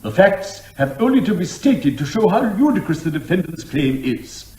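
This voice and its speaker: An actor playing an impatient, scathing judge in a British comic radio drama, with an English accent and a formal, curt manner. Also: male voice, sits high, very animated, a little mellow. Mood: scathing, deliberate. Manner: deliberate, formal, dry, curt. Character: brisk, impatient, dryly scathing male judge. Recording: roomy, echoing courtroom-like sound.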